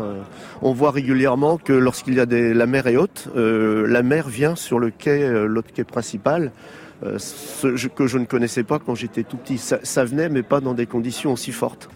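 A middle-aged man, an island mayor from France, explaining calmly with some hesitation in an outdoor interview on a quay. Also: medium pitch, moderate pace.

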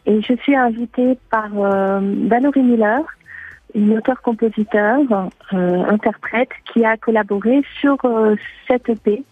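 A woman from France with a husky voice, answering radio interview questions as a guest, pausing and searching for words. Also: on the high side, narrow, tinny audio. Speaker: guest singer-songwriter.

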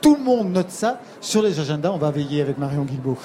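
A man's voice: fairly high pitch, very animated, quick pace, reverberant. A man hosting a radio music interview show. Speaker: radio host.

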